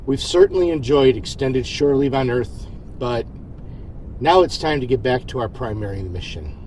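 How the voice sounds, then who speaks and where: A man's voice: mid-range voice, darkish tone, slight background noise, close to an everyday voice, low-quality recording. A man playing an earnest, reflective starship captain in a science fiction audio drama.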